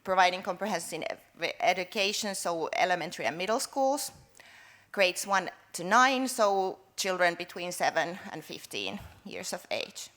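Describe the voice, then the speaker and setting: A woman's voice: fairly low pitch, animated, moderate pace, fairly bright tone. Woman presenting a workshop. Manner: friendly, loose, with frequent fillers and restarts. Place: conference room.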